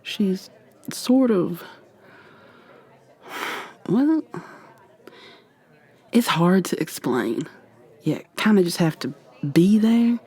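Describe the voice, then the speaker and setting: A woman with an American accent playing a playful, flirty modern witch in an intimate ASMR roleplay, speaking softly and teasingly to the listener. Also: sits low, husky, exaggerated voice. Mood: smitten, playful. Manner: teasing, affectionate, conversational with trailing pauses. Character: playful, flirty modern witch mentoring a new coven member.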